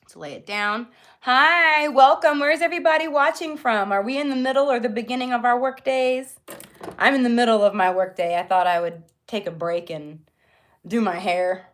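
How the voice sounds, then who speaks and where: A woman's voice: on the high side, normal speed, a bit bright. A woman hosting a live beauty tutorial in an informal, chatty way, speaking directly to her viewers. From born in Jamaica, grew up in Florida, US. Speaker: beauty livestream host.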